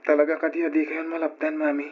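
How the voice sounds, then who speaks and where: A man's voice: on the high side, completely flat delivery, fast, husky. An actor playing a plaintive young son pleading with his mother in a radio drama. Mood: sad pleading. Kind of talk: old Ilocano radio drama acting. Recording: dull, muffled old radio drama recording.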